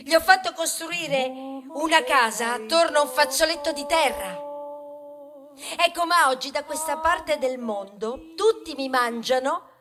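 A woman's voice: high voice, measured pace, music in the background. An actress playing a proud, aggrieved male grain of wheat in a satirical stage monologue, theatrical and plaintive, swelling into declamation and confiding in asides. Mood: pleading, reproachful. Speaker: stage actor performing a monologue. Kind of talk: theatrical satirical monologue, rhetorical, with bursts of song.

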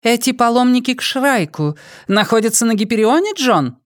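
A man voicing an imperious noblewoman who interrogates a prisoner in an audiobook, with a sharp, commanding manner. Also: very high-pitched, lively intonation, moderate pace, dry recording, clean studio sound, pitched above the performer's own voice. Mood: cold, probing. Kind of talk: audiobook character voice.

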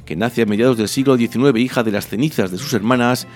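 A man presenting radio news and introducing a book reading in a measured, deliberate way. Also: mid-range voice, soft background music. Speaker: radio host.

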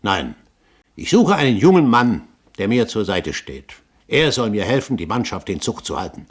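An older gentleman pirate captain, proud and scheming. He talks in grand, eloquent phrases with the theatrical polish of 1970s German radio drama, always sounding sure of his noble rank. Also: fairly low pitch, sing-song, normal speed. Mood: smooth, persuasive.

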